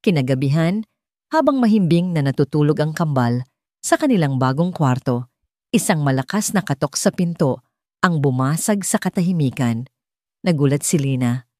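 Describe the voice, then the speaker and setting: Lower-voiced woman narrating a dramatic story. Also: a little mellow, dry recording, studio quality. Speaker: audiobook narrator.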